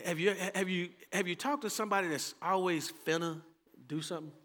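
Texan male pastor, high and bright, fervent preaching that drops to hushed asides, long hall reverb. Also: fairly quick. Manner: impassioned preaching, swinging between loud calls and quiet asides. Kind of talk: sermon.

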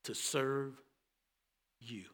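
A Texan man preaching a sermon as pastor, moving between loud, fervent calls and quiet, low asides. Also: steady tone, drawn-out words, big-room sound.

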